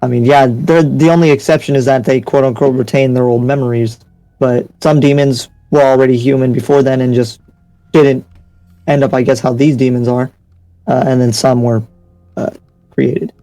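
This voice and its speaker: A man's voice: medium pitch, fairly even intonation, normal speed, warm tone, no echo, lightly acted, low-quality recording. A man playing a stern, skeptical townsman in an improvised anime game roleplay, pressing with repeated questions and lecturing. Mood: probing, skeptical.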